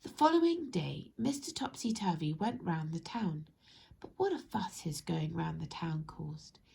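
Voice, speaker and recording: A woman narrating a children's story expressively, in measured phrases that speak directly to young listeners. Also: on the low side, animated, vocal fry, mellow, a little hiss or hum, rough recording. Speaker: storybook reader.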